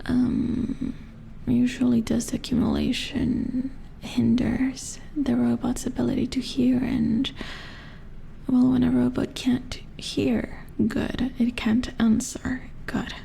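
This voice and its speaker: A woman playing a calm, matter-of-fact robot repair engineer in a soft-spoken ASMR roleplay, murmuring as if thinking aloud in a breathy whisper. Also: a little high, slow, full character voice. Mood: matter-of-fact, explaining. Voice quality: breathy whisper with creaky edges.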